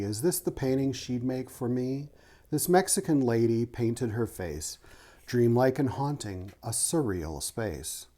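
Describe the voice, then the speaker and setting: A man's voice: mid-range voice, dark tone. A man who is an author and art teacher, reading a rhyming children's picture book aloud and adding short teacherly explanations. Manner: rhythmic reading of rhyming verse, teacherly asides.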